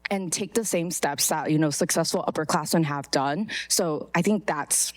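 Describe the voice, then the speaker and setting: Lowish dry-voiced young woman. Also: moderate pace, no room sound. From Malaysia. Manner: friendly, many "um"s, direct to audience. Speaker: first-year medical student panelist. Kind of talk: casual panel talk.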